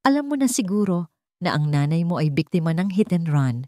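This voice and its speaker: A woman voicing a sarcastic, taunting wealthy villainess in a deliberate, theatrical way for an audio drama story. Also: on the low side, big pitch swings, mellow, no room sound, clean studio sound. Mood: smug cruelty.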